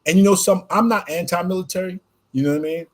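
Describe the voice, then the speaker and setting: A man's voice: sits high, fast. A man born in Jamaica and raised in the US, talking loosely in a casual interview with lots of "you know" and "right?". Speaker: podcast co-host being interviewed.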